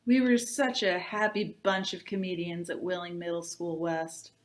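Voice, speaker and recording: A woman reading aloud to children as a librarian, in an expressive, deliberate storybook style. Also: on the low side. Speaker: youth services librarian reading aloud to tweens.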